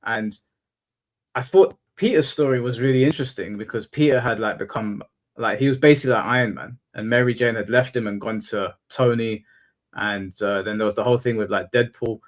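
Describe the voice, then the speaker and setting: A man hosting a casual panel chat, speaking in a monotone and often restarting his sentences while he thinks. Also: mid-range voice, a little fast. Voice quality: monotone delivery. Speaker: podcast host.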